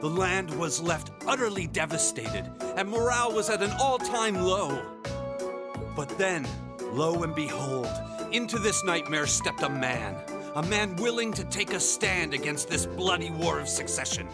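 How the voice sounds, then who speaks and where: The academy lecturer at the front of a video-game classroom, telling history with theatrical swoops over loud music. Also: male voice, fairly high pitch, animated. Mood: engaged, didactic. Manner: measured, theatrical storytelling. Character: learned history teacher at a military academy.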